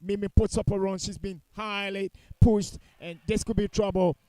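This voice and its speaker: A man's voice: sits high, little pitch movement, normal speed, mellow, dry recording. A man giving live football commentary in a critical, opinionated way, repeating points for emphasis.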